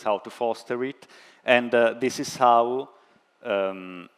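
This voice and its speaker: Slow, hesitant man full of fillers. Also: fairly low pitch, clean tone. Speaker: researcher answering questions. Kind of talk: conference pitch and Q&A. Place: conference stage.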